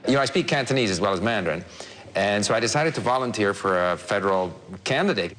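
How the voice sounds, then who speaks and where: Chatty man with a low voice, telling stories. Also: animated, quick pace, a bit bright, slight background noise. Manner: anecdotal, chatty, laughs while telling stories. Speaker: interviewee, language learner. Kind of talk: casual interview answers.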